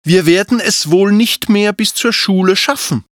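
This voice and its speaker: A storyteller voicing a young boy dragon in a children's audiobook, high and anxious. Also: moderate pace, no room sound, close to an everyday voice. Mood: worried.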